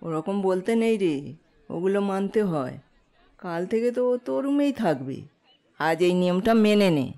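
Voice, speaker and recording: Woman acting middle-aged aunt, good-humoured, gently persuasive, medium pitch, audio story drama. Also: expressive, a little slow. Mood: gently chiding.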